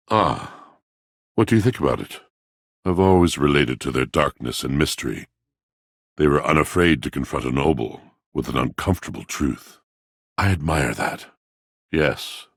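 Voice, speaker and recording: Very deep, brooding, theatrical washing machine. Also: male voice, no echo, clean studio sound, exaggerated voice. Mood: erudite, inquisitive. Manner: theatrical, eloquent, pompous, archaic diction. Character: brooding literary washing machine, melancholy, haughty male. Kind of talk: dramatic video game character acting.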